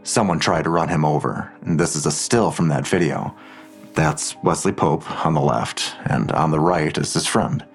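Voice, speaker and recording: An actor playing a protective, secretive man digging into a crime, speaking in a measured, reassuring way in an audio drama. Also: very deep voice, vocal fry, slightly rough, soft background music, full character voice. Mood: guarded, focused.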